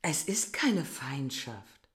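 A woman's voice: very deep voice, big pitch swings, slow, full character voice. A woman acting a proud, cold young village woman in a German radio play, curt and dismissive.

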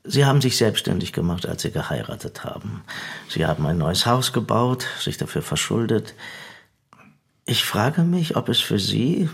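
Insistent official, formal, insinuating, gravelly rasp, radio drama interrogation. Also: male voice, on the low side, heavily acted.

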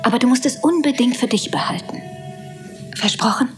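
A woman's voice: fairly high pitch, brisk, over music, close to an everyday voice. An actress playing a secretive, urgent scientist mother in a film drama.

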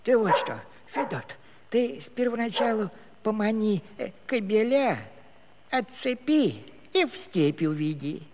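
Halting, quavering comic old village grandfather. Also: sits high, expressive, darkish tone. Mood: wheedling. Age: older. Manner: muttering and halting, full of oohs and hesitations. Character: comic, wily old village grandfather pining for his wife. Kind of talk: theatrical Soviet musical-comedy radio play acting. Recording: long hall reverb with clear background noise.

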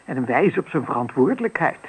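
A woman's voice: rumbling low, sing-song, fast, a little mellow, breathy edge, heavily acted, rough recording. An actress playing an indignant, fretting middle-aged mother of a grown son in a radio play, gossipy and nagging. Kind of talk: naturalistic radio play acting.

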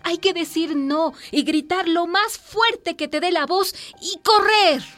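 High-voiced, caring mother. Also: takes it slowly, a bit bright, full character voice. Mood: reassuring, firm. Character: caring mother, firm, reassuring, instructive. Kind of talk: radio drama acting. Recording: music behind the voice.

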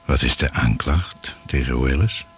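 An actor as a radio-play narrator and interviewer, clipped, formal and solemn. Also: male voice, extremely low pitch, a little slow, a bit bright, husky, heavily acted. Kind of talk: scripted radio drama narration.

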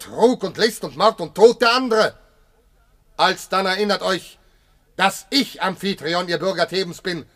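A proud, passionate commander wronged as a husband. The voice is high for a man. He declaims classical radio-drama verse with solemn, impassioned force. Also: fairly bright tone, exaggerated voice.

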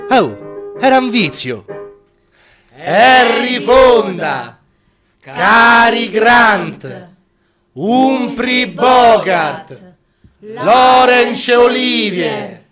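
High-voiced young Roman prisoner. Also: male voice, heavily acted. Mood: wistful, nostalgic. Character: wry 28-year-old Roman film extra, now a prisoner. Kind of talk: radio theatre monologue.

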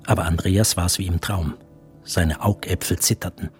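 A man playing the grave storyteller, narrating a literary radio drama in measured phrasing. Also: low-pitched, fairly even intonation, close to an everyday voice. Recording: studio voice with music behind.

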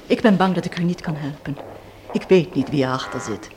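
An actress playing a cool, guarded estranged wife in a Dutch radio play, polite but reserved. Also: female voice, low-pitched, brisk, a little mellow, slight background noise, natural voice.

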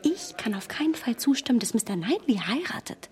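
An actress playing a strong-willed, self-assured young heroine who talks emphatically in a hushed voice, in a radio play. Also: female voice, fairly high pitch, sing-song, fairly quick. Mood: indignant.

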